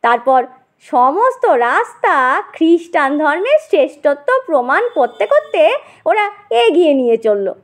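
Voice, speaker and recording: A woman narrating a scripted audio story in an expressive storytelling style. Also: high-pitched, unhurried.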